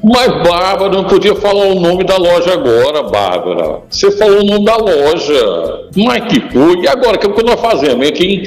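A man's voice: high-pitched, big pitch swings, moderate pace. A man hosting a live radio segment from a store, teasing his guest with playful questions. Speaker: radio host. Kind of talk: lively live radio interview. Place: store, on location. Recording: echoey radio broadcast with music.

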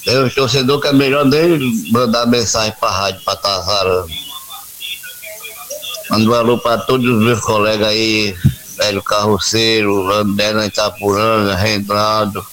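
Low-voiced guy calling the radio, grumbly fan, shouting out his friends. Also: moderate pace, rough recording. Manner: animated, grumbling sports fan. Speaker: caller.

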